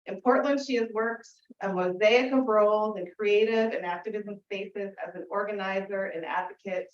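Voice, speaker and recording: A woman's voice: mid-range voice, moderate pace. A woman host introducing a reader to an audience, reading a bio with enthusiasm and frequent fillers. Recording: echoey room, far from mic, dull sound.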